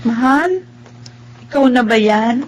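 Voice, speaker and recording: An actress playing a gentle, devoted blind young wife in a radio drama, speaking tenderly and pleadingly. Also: female voice, a little high, expressive, slow, slight background noise, poor audio. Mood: eager, tender. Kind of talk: radio drama acting with first-person narration.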